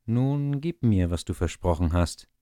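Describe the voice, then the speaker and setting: A man voicing a greedy, spiteful little imp in a storybook reading, with a high, slow, taunting delivery. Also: lively intonation, mellow, no echo, clean studio sound. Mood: wheedling. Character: spiteful tiny male imp, shrill and greedy. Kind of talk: audiobook reading of a fairy tale.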